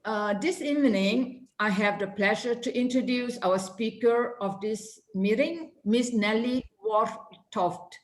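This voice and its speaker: A woman introduces a guest speaker at a club meeting over a video call. She speaks very slowly and carefully, with a fairly high voice and a few restarts. Her voice echoes around the room. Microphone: video call microphone.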